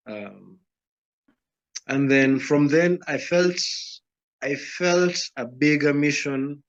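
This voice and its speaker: A man speaks in a calm, measured way, taking his time with pauses. His voice sits at a middle pitch. The recording sounds dull and lo-fi. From Uganda, Rwandan roots. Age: 30s. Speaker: guest musician. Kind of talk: interview answers.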